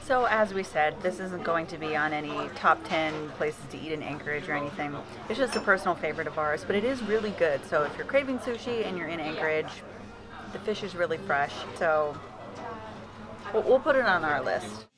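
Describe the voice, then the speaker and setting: A woman's voice: sits low, animated, a little fast, over music. A woman in her thirties talking casually to camera as a food and travel vlogger. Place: busy indoor cafes and restaurants. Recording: loud busy restaurant chatter behind.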